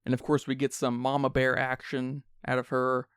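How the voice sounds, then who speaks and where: A man's voice: medium pitch, completely flat delivery, normal speed, a bit bright, clean tone, dry recording, clean studio sound. A man co-hosting a podcast, talking through a film in a casual but analytical, reflective way. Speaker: podcast co-host.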